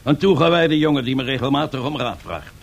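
An actor playing a pompous, boastful Italian fascist dictator in a radio drama, husky and given to grandiose speechmaking. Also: male voice, medium pitch, lively intonation, brisk. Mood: self-satisfied. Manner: grandiose, self-satisfied, rhetorical speechmaking. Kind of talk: 1970s radio drama acting.

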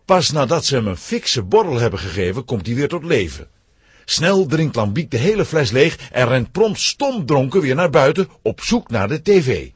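A man's voice: mid-range voice, big pitch swings, normal speed, husky, dry recording. A man narrating a scripted children's story.

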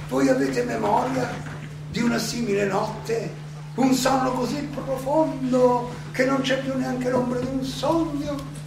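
A man playing an elderly condemned Greek philosopher, defiant yet serene, delivering a deliberate, halting theatrical monologue full of rhetorical questions in an echoey, noisy recording. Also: high voice, creaky, distant mic, full character voice. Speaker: stage actor.

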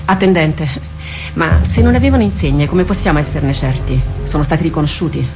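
Actress as a weary warrior captain, music loud behind. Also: female voice, low-pitched, lightly acted. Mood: questioning. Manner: impassioned, halting, rhetorical delivery. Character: weary, solemn female warrior captain addressing her troops. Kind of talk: theatrical radio drama monologue.

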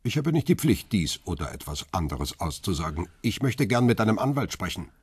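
An actor playing a defiant but controlled middle-aged photographer in a radio crime drama, speaking formally and firmly. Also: male voice, low voice, sing-song, fairly quick, husky, no room sound, studio quality, exaggerated voice. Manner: formal, firm, insistent. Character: defiant, controlled middle-aged male paparazzo under interrogation.

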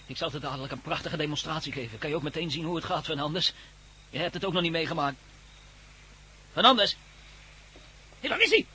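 An actor playing a cocky, menacing gang leader in a radio drama, barking orders with a sardonic edge. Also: male voice, on the high side, lively intonation, fairly quick, long pauses, sharp tone, a little hiss or hum. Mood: cocky, calm. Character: cocky commanding male armed gang leader, menacing, mocking.

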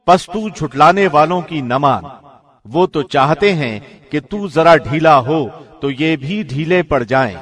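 A man reading a scripture translation from a script in a formal, measured radio-announcer manner. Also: a little high, expressive, a bit bright, soft background music. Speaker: translation reader, radio presenter.

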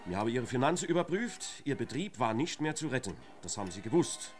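An actor playing a dogged, dry-humoured police detective in a radio drama, blunt and colloquial. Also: male voice, medium pitch, very animated, quick pace, soft background music, lightly acted. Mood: dry, accusing.

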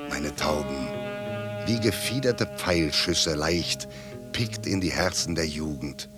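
An actor playing a wistful poet who delivers a lyrical, declamatory monologue in a radio drama. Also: male voice, rumbling low, takes it slowly, over music. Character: wistful poet sending thoughts across the world.

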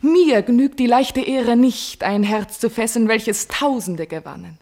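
An actress playing a proud, sorrowful princess in a classical verse radio drama, speaking in a solemn, deliberate, declamatory way. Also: female voice, fairly high pitch, warm tone. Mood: proud, impassioned. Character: proud sorrowful captive princess of royal blood, secretly in love.

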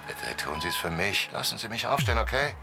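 Gravelly, delirious dying gangster boss. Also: male voice, fairly low pitch, very animated, quick pace. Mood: delirious, pleading. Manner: fragmented, rambling delirium. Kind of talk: radio drama acting. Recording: music behind the voice. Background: loud music.

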